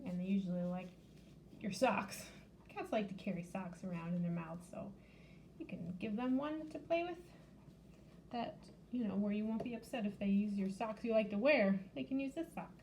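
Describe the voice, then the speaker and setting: A woman's voice: on the high side, darkish tone, a little hiss or hum, poor audio. A woman hosting a children's storytime, reading aloud and explaining in simple, child-directed language. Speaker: library storytime host. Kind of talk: picture-book reading and craft demonstration.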